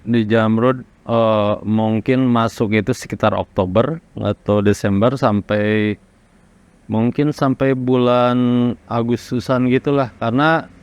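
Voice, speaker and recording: A man from Indonesia, a rock singer, casually recalling his career in an interview in a halting, reflective way. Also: mid-range voice, steady tone, smooth, dull audio. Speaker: rock vocalist being interviewed. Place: studio workroom.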